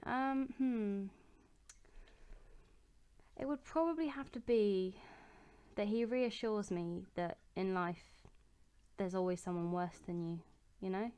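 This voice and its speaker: A young woman chats easily from her bedroom as she answers viewer questions one after another. Her voice sits a little low for a woman and sounds smooth and clear, and she fills her pauses with ums and small restarts. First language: English. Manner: chatty and relaxed, with many ums and self-corrections. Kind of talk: casual chat.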